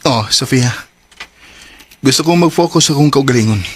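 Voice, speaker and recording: A man's voice: medium pitch, normal speed, noisy background, lightly acted. An actor playing a nervous young man who stammers and hesitates, in a Filipino radio drama with a Cebuano accent. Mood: earnest, tentative. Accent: Filipino, Cebuano-speaking. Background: background music.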